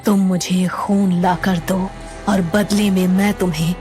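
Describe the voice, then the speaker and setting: A woman voicing a bloodthirsty, vengeful female witch spirit with a menacing, commanding manner in an animated horror story. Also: medium pitch, a little slow, soft background music, close to an everyday voice. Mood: coldly bargaining.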